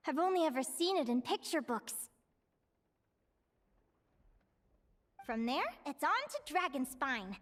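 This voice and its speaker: A woman voicing a dreamy, curious young female explorer with a gentle nature, in a video game. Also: high voice, animated, normal speed. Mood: eager wonder. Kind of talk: video game character acting.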